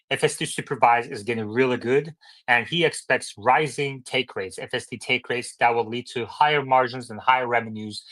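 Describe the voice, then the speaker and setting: A man's voice: medium pitch, a bit bright, rough recording. A man hosting a live stream discussion, speaking informally and thinking aloud as he moderates. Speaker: host.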